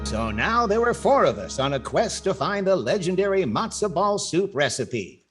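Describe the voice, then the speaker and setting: A man's voice: sits high, very animated, moderate pace, over music. A man playing a wry adventurer who narrates his trek in 1940s radio comedy style. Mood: storytelling. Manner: wry, storytelling.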